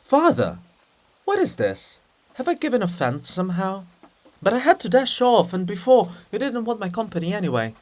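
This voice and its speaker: A man's voice: sits high, huge pitch swings, moderate pace, a little mellow, pitched above the performer's own voice. A man voicing a scheming, fussing male statue of a statesman in a play reading, with a wheedling, explanatory way of talking. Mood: wheedling, placating.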